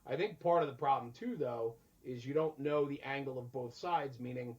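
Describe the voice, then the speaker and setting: A man's voice: mid-range voice, normal speed, muffled recording. A man hosting a casual podcast conversation, speaking earnestly and reflectively, often posing rhetorical questions. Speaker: podcast host.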